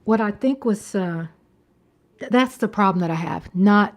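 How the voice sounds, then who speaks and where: A woman's voice: medium pitch, unhurried. A woman in her fifties hosting a livestream, chatting casually to viewers and often repeating herself or checking in with them. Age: 50s. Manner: conversational, repeats phrases and checks in with "you know". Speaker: livestream host.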